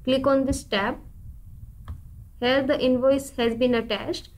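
High-pitched woman narrating a software tutorial. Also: steady tone, slow. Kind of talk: scripted step-by-step screen demo narration.

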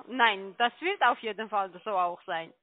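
A woman's voice: fairly high pitch, lively intonation, unhurried. A woman working as a telemarketing caller, talking politely on a phone call. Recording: tinny phone line.

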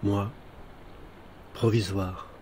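A man reciting literary poetry in a measured, contemplative manner, with deliberate pauses between short phrases and a husky voice. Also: fairly low pitch, level tone, a little mellow, a little hiss or hum. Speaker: poet reading own poems.

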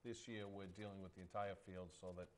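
A middle-aged man and event organizer chatting on a local talk show, enthusiastic, his phrases trailing off quietly. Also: low-pitched, steady tone, a little fast, crowd noise. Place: studio set against an outdoor backdrop. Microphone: lapel mic.

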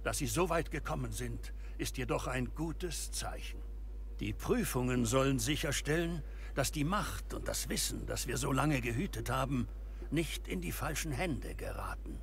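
An actor playing an older, grave wizard professor in a video game, speaking in a formal, deliberate way. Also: male voice, mid-range voice, expressive, a little hiss or hum, lightly acted. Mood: solemn, instructive. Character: ancient wizard professor in an enchanted portrait, grave and measured. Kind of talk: scripted video game dialogue in German.

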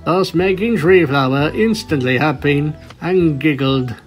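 Male storyteller, scripted narration, unhurried pace, faint music underneath. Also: fairly high pitch. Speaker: narrator of a fan fiction reading. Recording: music bed behind the voice.

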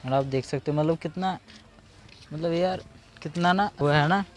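High-pitched young male vlogger. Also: moderate pace. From Punjab, India. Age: 20s. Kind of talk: casual vlog chat to camera. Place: outdoors in an open school ground and park. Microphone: handheld camera at arm's length.

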